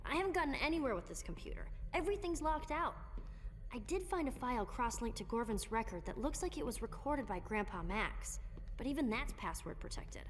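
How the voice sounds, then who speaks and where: Woman voicing a brainy careful teen girl for a game, high expressive voice, earnest. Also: a little fast, a little hiss or hum, natural voice. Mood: frustrated. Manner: articulate, earnest. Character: clever, careful teenage girl hero. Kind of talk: scripted video game cutscene dialogue.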